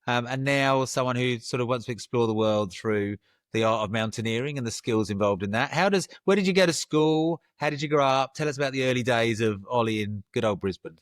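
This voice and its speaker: A man's voice: medium pitch, a little fast, dry recording. Enthusiastic man hosting a podcast. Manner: enthusiastic, rambling with restarts. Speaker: podcast host. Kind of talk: casual interview chat.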